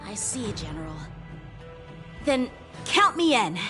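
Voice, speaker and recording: A woman's voice: mid-range voice, big pitch swings, takes it slowly, sharp tone, faint music behind, exaggerated voice. A woman voicing an earnest, modest teenage boy sword apprentice in a video game, respectful and hesitant. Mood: agreeable.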